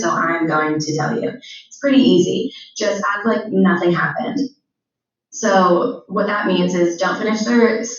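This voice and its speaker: A teenage girl giving a school presentation, stuttering with repeated words and frequent fillers. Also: lowish voice. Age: teens. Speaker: student speaker. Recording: very echoey, distant, dull sound.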